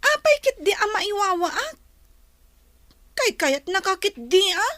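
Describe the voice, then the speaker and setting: A woman's voice: extremely high pitch, sing-song, unhurried, a bit bright, no echo, exaggerated voice. A woman playing a resentful young girl in a radio drama, blunt and challenging.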